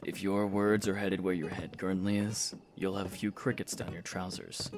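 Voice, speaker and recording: A man voices a guarded young fantasy hero in an audio play. His low voice is curt and dry, stern and protective with a quietly threatening edge, over clearly audible music. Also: steady tone, moderate pace. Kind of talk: fantasy audio drama acting.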